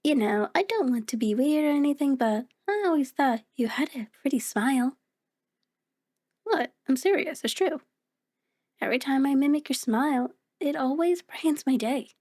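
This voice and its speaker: A woman voicing a kind, playful mirror reflection in an ASMR roleplay, speaking gently and encouragingly with hesitant pauses. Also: high-pitched, pitched above the performer's own voice, natural voice. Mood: shy, playful. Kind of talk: ASMR roleplay, addressing the listener.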